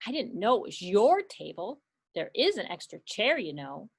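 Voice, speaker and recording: A woman's voice: medium pitch, sing-song, moderate pace, creaky, husky, full character voice. A woman voicing a polite, prim little girl who is easily offended, in a library puppet show.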